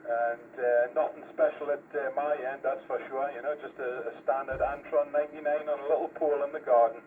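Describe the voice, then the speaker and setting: A man's voice: medium pitch, steady tone, brisk. Man chatting over tinny CB radio. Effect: two-way radio transmission. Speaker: amateur CB radio operator. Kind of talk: casual radio chat. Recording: tinny, noisy CB radio transmission.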